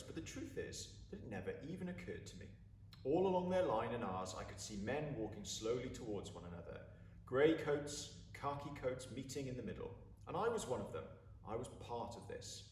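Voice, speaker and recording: A man reading a story aloud with expressive, deliberate, dramatic phrasing. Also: lowish voice. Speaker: story reader. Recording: roomy, distant mic, faint background noise.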